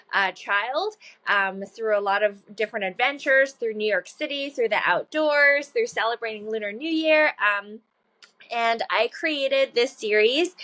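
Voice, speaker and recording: 30s woman guest on a podcast, chatty and earnest, lots of ums, laughs a lot. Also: medium pitch. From China. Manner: warm, earnest, laughs often, many fillers. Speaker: guest, children's book author. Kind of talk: casual interview chat.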